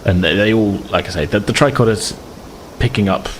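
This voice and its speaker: A man's voice: on the low side, fairly quick, a little hiss or hum, poor audio. A man improvising narration as a game master, explaining things in a hesitant, rambling way.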